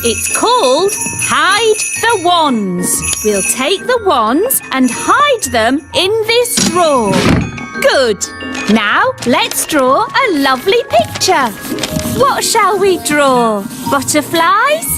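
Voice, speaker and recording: A very high, lilting British voice for a cartoon elf lady. She is polite and anxious, taking her time as she reassures herself and others. Music plays clearly behind her. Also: very animated, slow, heavily acted. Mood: brightly reassuring. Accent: British English. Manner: nervous, polite, self-reassuring. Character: anxious, polite adult female elf, reluctant playgroup assistant. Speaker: assistant teacher. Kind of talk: children's cartoon voice acting.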